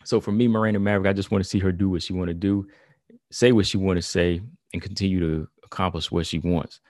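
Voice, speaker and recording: A man's voice: low-pitched, fairly even intonation, fast talker, darkish tone, no echo, studio quality. A man giving a casual commentary monologue on combat sports, talking loosely with lots of "you know" and "y'all". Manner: conversational, heavy on "you know" and "y'all", reads quotes aloud.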